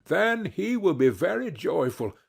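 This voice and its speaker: Wise old storybook fox acted by a man, earnest counsel, deliberate, husky, lively pitch. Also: exaggerated voice. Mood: instructing. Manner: instructing, deliberate.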